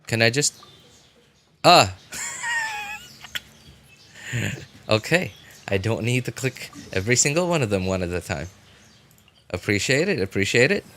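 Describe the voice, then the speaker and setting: A man giving casual live game commentary as a streamer, rambling and halting, often breaking off mid-thought to react. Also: medium pitch, very animated, fairly bright tone, slight background noise.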